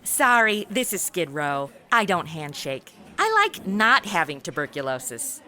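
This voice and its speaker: A woman's voice: fairly low pitch, sing-song, measured pace, pushed and bright, exaggerated voice. A woman playing a snarky modern young superhero sidekick in a comedy audio drama, tossing off dry, sassy asides.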